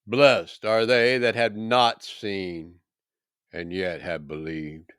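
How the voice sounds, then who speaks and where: A man voicing a calm, authoritative holy teacher, solemn and deliberate, in a dramatic scripture reading. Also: on the low side, smooth, no echo, studio quality.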